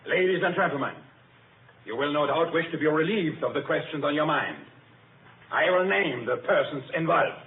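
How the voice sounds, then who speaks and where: An actor playing the cold, commanding leader of a masked secret society in an old radio drama, speaking in a formal, measured, authoritative way. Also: male voice, a little high, a little hiss or hum, close to an everyday voice. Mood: stern, menacing. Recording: tinny, band-limited old radio recording.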